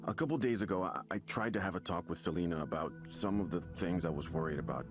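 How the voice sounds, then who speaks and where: A man's voice: low-pitched, natural voice. Hesitant, troubled young father acted by a man. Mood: troubled, defensive. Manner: halting, hesitant, stammering confession. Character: troubled young father hiding a secret self, anxious. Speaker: visual novel character in a therapy session. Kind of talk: naturalistic game voice acting. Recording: music behind the voice.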